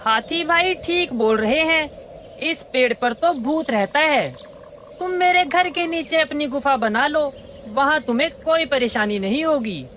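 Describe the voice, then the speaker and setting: A woman's voice: sits high, animated, unhurried, a bit bright, a little hiss or hum. A woman voicing a clever, friendly monkey in a cartoon animal story.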